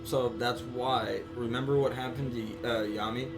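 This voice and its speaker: A man's voice: mid-range voice, fairly even intonation, normal speed. A man chatting casually on a live game stream, rambling and slangy as he talks through his thoughts. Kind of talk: casual live stream chat recapping and theorizing. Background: game music.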